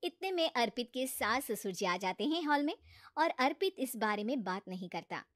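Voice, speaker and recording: A woman narrates a children's story from a script. Her voice sits high, and the recording is dry with very little room sound. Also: very animated, normal speed, no echo.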